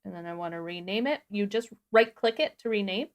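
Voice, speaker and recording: A woman's voice: mid-range voice, animated, a little fast. A woman co-hosting a livestreamed tutorial, informally instructing and talking through each step as she does it. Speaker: livestream co-host and software instructor. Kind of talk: casual step-by-step live tutorial.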